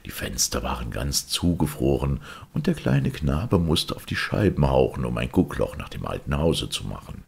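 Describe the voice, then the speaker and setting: A man's voice: very deep voice, sing-song, moderate pace, vocal fry, few pauses, a little mellow, breathy edge. A man narrating a fairy tale expressively as a storyteller.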